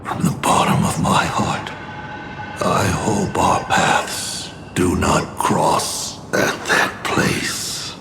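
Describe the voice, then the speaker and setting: A man voicing a proud, theatrical and ancient scheming villain in a video game, speaking in a grandiose, deliberate, gravelly way. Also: low voice, steady tone, noisy background, exaggerated voice. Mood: proud, resigned. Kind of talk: dramatic video game voice acting. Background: music.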